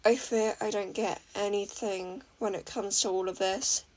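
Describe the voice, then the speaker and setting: A woman voicing a gentle, patient teenage boy tinkerer who speaks calmly and reassuringly. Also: medium pitch, a little slow, natural voice, poor audio. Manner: calm and reassuring.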